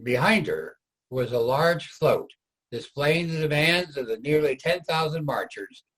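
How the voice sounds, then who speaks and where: Guy narrating a history play from a script, kinda husky voice. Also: a little high, animated, unhurried, a bit bright, low-quality recording.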